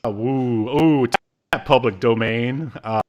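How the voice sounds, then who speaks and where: Man, thirties, podcast co-host, casual recap, halting delivery, medium pitch. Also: darkish tone, muffled recording. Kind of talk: casual recap and review chat. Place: small indoor room, video call.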